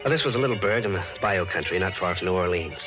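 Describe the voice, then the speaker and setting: A vintage, muffled radio crime play with music under it. An actor plays a tough American ex-con with a low voice, telling his tale in clipped, slangy hardboiled style. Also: quick pace, natural voice. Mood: grim, haunted. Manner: terse slangy tough-guy talk. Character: tough wrongly accused ex-con telling his own story. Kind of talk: 1940s radio acting, hardboiled first-person narration.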